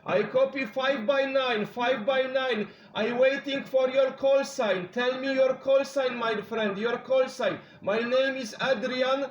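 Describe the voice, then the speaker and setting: High-pitched Polish-accented man on CB radio. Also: fairly even intonation, reverberant, lo-fi sound. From Poland. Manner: deliberate repetition, procedural radio phrasing. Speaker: amateur CB radio operator. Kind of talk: radio contact calls in short repeated phrases.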